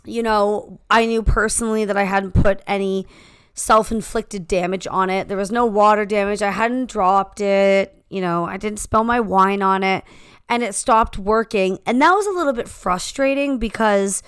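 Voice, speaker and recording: Slightly hoarse woman, chatty podcast co-host. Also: medium pitch, normal speed, dry recording, studio quality. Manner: chatty, storytelling with many asides. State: slightly hoarse, recovering from laryngitis. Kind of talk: casual chat. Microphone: handheld podcast mic.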